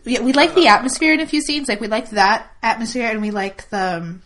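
A woman co-host chats in a relaxed way, pausing to search for her words. Her humor is dry, and she keeps an easy, conversational tone. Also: on the high side. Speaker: podcast co-host. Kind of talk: casual chat.

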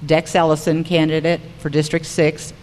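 A woman's voice: deep voice, flat delivery, normal speed, a little mellow, a little hiss or hum. A woman moderating a public forum, reading prepared material aloud to the room. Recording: reverberant hall recording.

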